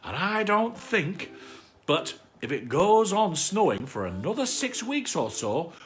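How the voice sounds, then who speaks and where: A storybook reader voicing a gloomy, self-pitying old male donkey with melancholy, sarcastic grumbling. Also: mid-range voice.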